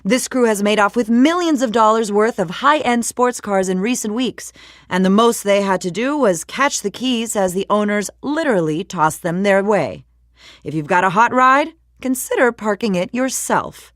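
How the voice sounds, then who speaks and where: An actress playing a polished, brisk woman news reporter, reading scripted bulletins in a measured, slightly wry newsreader delivery for a game. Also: mid-range voice, lively intonation, dry recording, studio quality, lightly acted. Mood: wry, cautionary.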